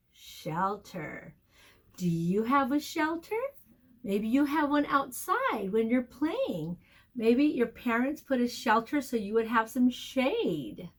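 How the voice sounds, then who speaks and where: A woman's voice: fairly high pitch, breathy edge. A woman teacher leading a storytime and vocabulary lesson for young children, speaking expressively and encouragingly, with questions to her listeners and key words stretched out. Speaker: preschool teacher reading a picture book aloud.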